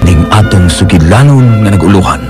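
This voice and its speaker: A man with a Bisaya accent playing a solemn storyteller announcing a dark radio serial. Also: low voice, lively intonation, moderate pace, over music, pitched below the performer's own voice. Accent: Filipino, Bisaya. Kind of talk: scripted radio drama narration.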